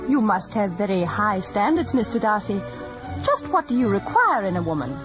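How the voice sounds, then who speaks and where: An actress playing a witty, bold young gentlewoman in a period radio drama, arch and teasing. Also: mid-range voice, very animated, moderate pace, natural voice. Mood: arch, probing. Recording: dull radio recording with music behind.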